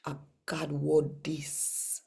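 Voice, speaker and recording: Slow, deep-voiced Jamaican woman. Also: completely flat delivery, creaky, darkish tone. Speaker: scripture reader. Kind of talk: daily Bible reading in Jamaican Patois.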